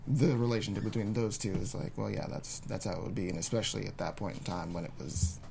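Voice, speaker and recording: A man's voice: lowish voice, fairly even intonation, fast talker, vocal fry. A man speaking casually and conversationally as an actor on a discussion panel. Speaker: actor on a table-read panel. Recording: background noise, room ambience.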